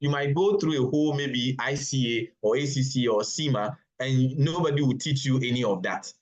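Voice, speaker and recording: A man from Ghana with a Ghanaian English accent, answering as a webinar panelist in a measured, explanatory way with hesitant restarts. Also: mid-range voice. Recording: dull lo-fi call audio.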